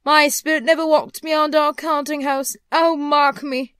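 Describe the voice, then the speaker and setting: A woman voicing the tormented, remorseful ghost of a dead businessman in a storybook, wailing and declaiming in slow, drawn-out phrases. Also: sits high, steady tone, a bit bright, no echo, clean studio sound, pitched above the performer's own voice. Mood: solemn, foreboding. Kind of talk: dramatic storybook character reading.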